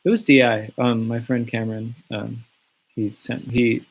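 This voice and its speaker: Laid-back man with a gravelly, husky voice. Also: medium pitch, unhurried. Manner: relaxed, rambling, many fillers and restarts. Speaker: musician answering fan questions. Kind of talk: casual live Q&A. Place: home studio in the countryside. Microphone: webcam or phone on a livestream.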